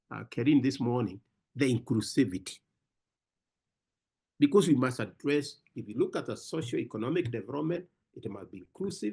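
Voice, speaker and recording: Animated gravelly-voiced man speaking at a conference. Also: mid-range voice. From Africa. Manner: emphatic, repeats words, addresses listener as brother. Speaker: conference speaker answering questions. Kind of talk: animated panel talk. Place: online conference.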